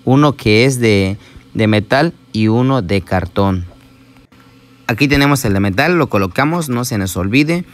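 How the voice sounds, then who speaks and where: A man's voice: medium pitch, lively intonation, measured pace. Patient man explaining an engine repair. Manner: patient, explanatory, addresses viewers directly. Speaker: small-engine mechanic. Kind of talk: step-by-step repair tutorial voice-over.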